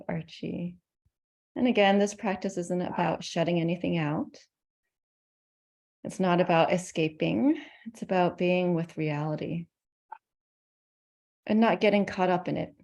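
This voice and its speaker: A woman teaching meditation, guiding listeners in a gentle, soothing manner with pauses between phrases. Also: on the low side, vocal fry. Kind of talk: guided meditation.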